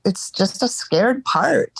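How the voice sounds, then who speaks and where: A woman in a live therapy session over a call, speaking haltingly and searching for words, stuffed up from crying earlier. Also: fairly high pitch, lively intonation, gravelly, slightly rough, dry recording, rough recording. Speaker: therapy client.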